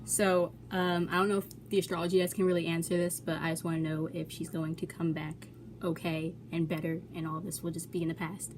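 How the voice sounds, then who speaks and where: Young woman just chatting n asking stuff, voice on the lower side, faint noise behind her. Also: flat delivery, brisk, a little mellow, rough recording. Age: young adult. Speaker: client asking questions, vlogger. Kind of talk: casual chat.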